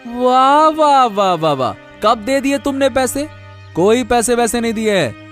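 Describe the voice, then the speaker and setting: A man's voice: extremely high pitch, lively intonation, a little slow, fairly bright tone, faint music behind, full character voice. A man voicing an indignant village landowner in a cartoon story, scolding and emphatic. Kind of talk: Hindi moral-story cartoon dubbing.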